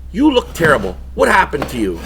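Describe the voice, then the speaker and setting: High-voiced male actor as griping housemate, animated swings in pitch, sarcastic, audio drama. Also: a little fast, vocal fry, background noise, exaggerated voice. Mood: dry concern. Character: sarcastic put-upon young male housemate of monster hunters. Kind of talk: comedy-horror audio drama.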